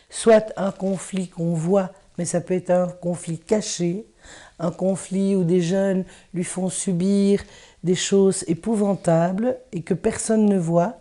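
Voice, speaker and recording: A woman speaks in a very dark, soft-edged voice at a slightly slow pace. She answers earnestly as a support worker. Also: fairly low pitch.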